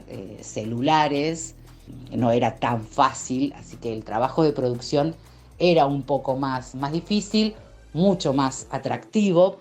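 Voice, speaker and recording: A woman's voice: low voice, a little mellow. An Argentine woman from a radio production team, casually recalling how the work was done. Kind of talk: casual recollection. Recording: music under the voice.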